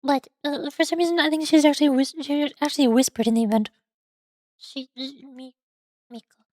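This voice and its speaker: A young woman streaming a game in casual, rambling chat, her voice hoarse and fading. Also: high voice, fairly even intonation, moderate pace, darkish tone, no room sound, studio quality. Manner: rambling, self-pitying banter with fillers. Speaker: VTuber streamer.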